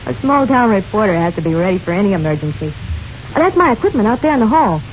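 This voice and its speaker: An actress playing a breezy young small-town reporter in a 1940s radio drama. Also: female voice, medium pitch, very animated, fast talker, close to an everyday voice. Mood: casual. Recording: muffled, hissy old radio recording.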